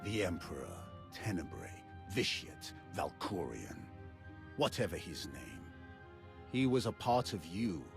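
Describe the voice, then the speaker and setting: An ominous stranger in a sci-fi game. He is grave and theatrical, low in pitch with a wide melodic range. Music is clearly heard behind him. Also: big pitch swings, a little slow, heavily acted. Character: ominous, grave male stalker testing the hero. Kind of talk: video game cutscene acting.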